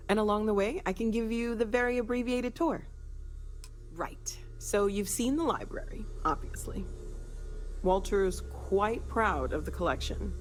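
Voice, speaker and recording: A woman's voice: medium pitch, natural voice, poor audio. An actress voicing a friendly, thoughtful young woman scientist in a video game, earnest and a little awkward. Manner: earnest, slightly awkward, tentative. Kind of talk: scripted video game dialogue. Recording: clear background noise and ambience.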